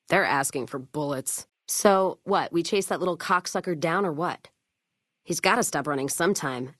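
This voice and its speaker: Tough wasteland caravan lady for a game, low voice, blunt n sardonic, real dry close sound. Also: dry recording. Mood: gruff, sardonic. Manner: blunt, sardonic, hard-bitten. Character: tough, foul-mouthed, whiskey-drinking woman caravan owner of the wasteland. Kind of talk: video game character voice lines.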